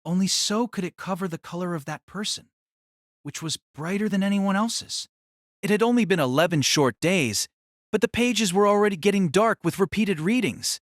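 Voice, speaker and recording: Slightly high male narrator, very dry sound. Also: no echo. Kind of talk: scripted audio drama narration.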